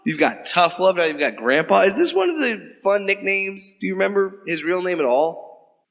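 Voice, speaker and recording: A man's voice: high-pitched, very animated, moderate pace, creaky, fairly bright tone, in a large hall, heavily acted. A man playing an earnest, slightly awkward government agent who asks questions politely and hesitantly. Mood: questioning. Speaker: interrogating agent. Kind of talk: audio drama acting.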